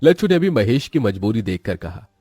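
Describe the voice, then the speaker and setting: Mellow, lively male story narrator. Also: lowish voice, moderate pace, breathy edge, no echo. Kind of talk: dramatic scripted storytelling.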